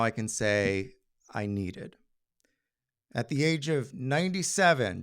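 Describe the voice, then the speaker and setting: A man's voice: medium pitch, gravelly. A man telling a personal story live, as a storyteller before an audience.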